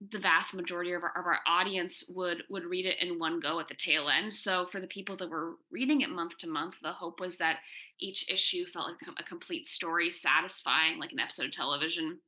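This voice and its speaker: A woman writer answering casually on a panel, animated and emphatic, correcting herself as she goes. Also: fairly low pitch, a little fast, poor audio. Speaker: comics and screen writer on a Q&A panel.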